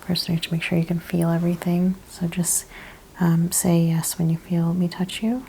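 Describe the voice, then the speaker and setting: A woman speaking softly, often in a breathy whisper, gently and carefully explaining each step as a chiropractor in an ASMR exam roleplay. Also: lowish voice, level tone, moderate pace, slight background noise, low-quality recording. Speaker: ASMR host playing a chiropractor examining a patient.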